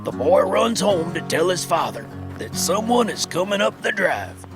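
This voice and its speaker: A man's voice: sits high, sing-song, normal speed. A man narrating a western tall tale in a folksy, theatrical storytelling style. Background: guitar music.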